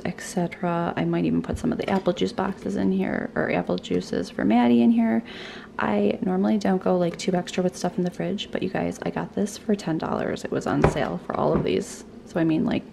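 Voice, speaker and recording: A woman's voice: lowish voice, creaky, warm tone. A woman in her thirties, a mom vlogger chatting casually to her viewers about groceries and home life, confiding and full of fillers. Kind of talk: casual chatty vlog voice-over. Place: home kitchen, indoors. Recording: faint background hum, dishwasher running.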